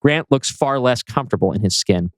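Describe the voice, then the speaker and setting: A man's voice: medium pitch, animated, a little fast, dry recording. A man reading scene directions from a script as a podcast host. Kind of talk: scripted narration of a screenplay. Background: music.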